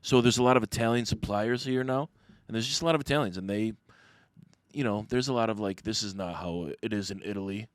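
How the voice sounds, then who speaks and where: A man's voice: lowish voice, fairly quick, dry recording. An American man from the Detroit area talking casually as an interview guest, emphatic and plain-spoken. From Detroit area, United States. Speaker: podcast guest, restaurateur.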